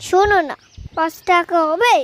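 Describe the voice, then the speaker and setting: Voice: very high voice, normal speed, a bit bright. A performer voicing a cheeky little granddaughter in a cartoon, coaxing and pleading. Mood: wheedling. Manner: coaxing, sing-song pleading. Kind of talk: cartoon voice acting.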